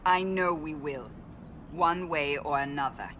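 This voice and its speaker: A woman playing a resolute warrior of a holy order in a video game, speaking formally, sternly and deliberately. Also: deep voice, a bit bright, a little hiss or hum. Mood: grave, questioning.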